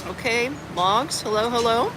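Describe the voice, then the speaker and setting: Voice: mid-range voice, a little slow. Chatty expat from Florida, streaming outdoors. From Orlando, Florida, United States. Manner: rambling and chatty, peppered with "like" and "man". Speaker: expat vlogger streaming live. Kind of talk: casual livestream chat. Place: outdoor garden café. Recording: outdoor stream with traffic noise. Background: outdoor ambience with traffic.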